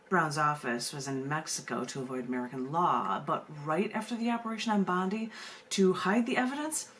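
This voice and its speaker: A woman with a fairly low voice speaks as a poet hosting an open mic. She shifts between informal introduction and reading narrative verse aloud. There is some faint room noise on the recording. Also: moderate pace, fairly bright tone. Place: video call open mic. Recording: noisy room sound.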